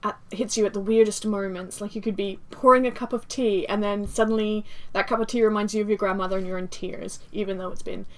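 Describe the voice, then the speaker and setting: A woman's voice: medium pitch, steady tone, fast talker, hardly any pauses. A woman on a book podcast panel, chatting casually and enthusiastically about a novel, often laughing.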